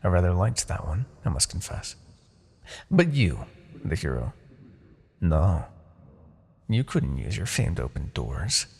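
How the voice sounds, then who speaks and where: A man playing a smug, seductive, possessive Demon King in a fantasy ASMR roleplay, with a gravelly, breathy voice and theatrical, taunting delivery. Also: sits low, big pitch swings, full character voice. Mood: mocking, condescending. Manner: theatrical, taunting, drawn-out phrasing with soft asides.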